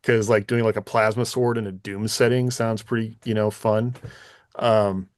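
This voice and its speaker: A man's voice: lowish voice, little pitch movement, moderate pace, dry recording, clean studio sound. A man co-hosting a podcast, talking in a casual, conversational way about his thoughts. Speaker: podcast co-host and author. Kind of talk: casual chat.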